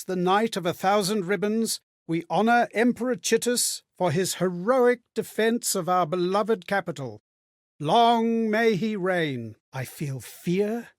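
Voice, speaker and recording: An actor voicing an earnest, melancholy male spirit with no memory of his own, speaking a video game monologue in formal, measured, theatrical phrases. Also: high voice, dry recording, studio quality, heavily acted. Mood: proud, declaiming, then shaken. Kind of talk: dramatic scripted game monologue.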